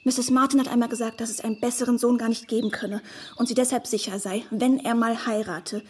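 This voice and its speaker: A woman's voice: on the high side, fairly even intonation, brisk, a little mellow, breathy edge. An actress playing a naive, eager and deferential young woman in a radio play, artless and hesitant in her speech. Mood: fond, eager.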